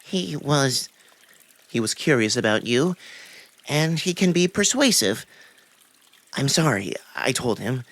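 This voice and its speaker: A man's voice: medium pitch, sing-song, takes it slowly, pauses often, a bit bright, dry recording, full character voice. A man voicing a sly, wounded male cat in a visual novel, haughty and evasive, with formal, indignant phrasing. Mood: evasive, defensive. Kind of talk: visual novel character acting.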